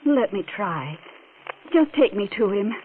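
A woman's voice: medium pitch, big pitch swings, measured pace, slight background noise. An actress playing an anxious young wife pleading for her husband in a 1940s radio drama, earnest in her manner. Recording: tinny old radio broadcast.

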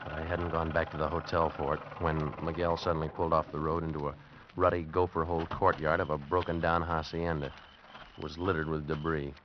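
A man's voice: rumbling low, flat delivery, fairly quick, background noise. An actor playing a wary, streetwise American man narrating a risky shady deal in a vintage radio drama. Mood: tense, brooding. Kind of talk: 1940s-50s radio drama acting, hardboiled first-person narration. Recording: dull vintage radio recording with music behind.

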